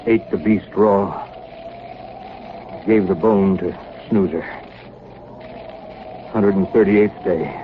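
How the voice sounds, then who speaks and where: A thin, noisy 1930s radio drama recording features a stern, driven ship's captain. His voice is fairly low. He speaks slowly in terse, commanding phrases. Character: stern, driven ship's captain keeping a grim survival diary. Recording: muffled phone-like, clearly noisy 1938 radio recording.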